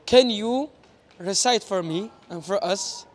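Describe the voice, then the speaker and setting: Guy doing mall street interviews, really high pitched voice, host style. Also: slow pace. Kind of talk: on-camera street interview with Quran recitation phrases. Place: indoor shopping mall. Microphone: small handheld wireless mic.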